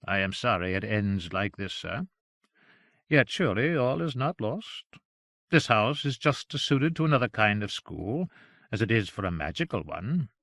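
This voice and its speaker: Man performing a storybook Yorkshire manservant, gravelly, grave, deliberate, coolly amused, menacing, close dry sound. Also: fairly low pitch, sing-song, fairly bright tone, no room sound, heavily acted. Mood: wry, conciliatory.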